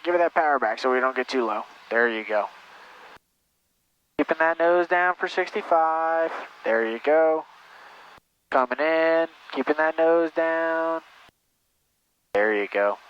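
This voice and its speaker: A man's voice: a little high, clear, resonant tone. A man working as a flight instructor, giving patient, encouraging step-by-step coaching and repeating his instructions over a cockpit headset. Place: small airplane cockpit in flight. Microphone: aviation headset. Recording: muffled intercom audio, steady engine noise.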